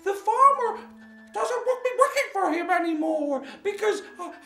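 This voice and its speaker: A man voicing an old, excitable, nervous cockerel in a children's story, stammering and squawky. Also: very high-pitched, moderate pace, reverberant, soft background music, pitched above the performer's own voice, full character voice. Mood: nervous, sad.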